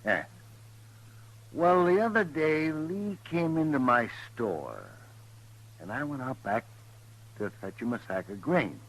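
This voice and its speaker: A man's voice: mid-range voice, big pitch swings, takes it slowly, long pauses, fairly bright tone, full character voice, low-quality recording. An actor playing a folksy, hesitant but sly American frontier townsman in an old-time radio western. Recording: vintage radio drama recording.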